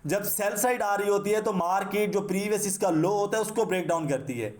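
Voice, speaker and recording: A high-pitched man with a Pakistani accent talks through an unscripted tutorial. He explains step by step, repeating himself and dropping in English terms, with a slightly sore throat. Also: brisk. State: sore throat, clearing it now and then. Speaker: trading instructor. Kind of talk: unscripted screen-share trading tutorial.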